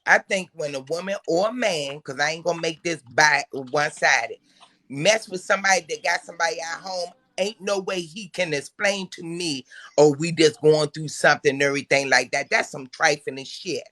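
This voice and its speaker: A woman's voice: low-pitched, normal speed, pushed and bright. A woman talking bluntly and casually, swearing as she tells relationship stories in a group chat. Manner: blunt, profane. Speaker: talk show panelist.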